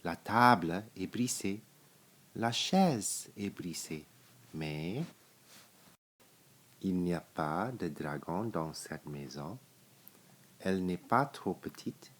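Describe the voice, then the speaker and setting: A man reading a children's picture book aloud, in deliberate, simple phrases with pauses. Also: low-pitched, expressive, fairly bright tone. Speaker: storybook reader.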